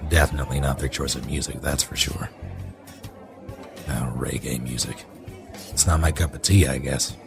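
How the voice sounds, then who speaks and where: A man's voice: a bass voice, steady tone, moderate pace, vocal fry, pauses often, over music, heavily acted. A man acting a brooding, bitter, sardonic husband in a horror audio drama, with a taunting manner. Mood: dry, sardonic.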